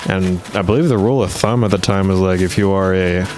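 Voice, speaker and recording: A young man with a very deep voice that sounds worn and a little rough, chatting loosely while he plays. He rambles, trails off and restarts often. Clear game music plays underneath him. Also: fairly even intonation, brisk, darkish tone. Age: young adult. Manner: rambling, many fillers and restarts. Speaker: gaming video host. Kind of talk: casual gameplay commentary.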